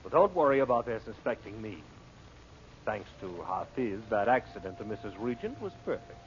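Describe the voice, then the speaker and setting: A man's voice: lowish voice, big pitch swings, moderate pace. Cynical henchman, muffled radio serial. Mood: sly. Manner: blunt, colloquial, argumentative. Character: cynical male henchman posing as reporter. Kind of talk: 1940s radio serial acting. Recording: muffled, noisy old radio recording.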